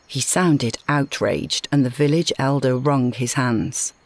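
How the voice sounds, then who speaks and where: A woman reading a scripted short story as narrator, in a measured, dramatic storytelling manner. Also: rumbling low, dry recording.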